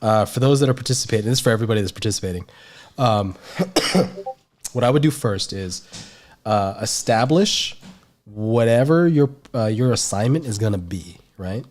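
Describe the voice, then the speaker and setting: Stuffy-nosed man teaching art, low voice. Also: lively intonation, normal speed, darkish tone, slight background noise. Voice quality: nasal. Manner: rambling, filler-heavy explaining. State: stuffy nose. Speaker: art instructor. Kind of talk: livestream art lesson.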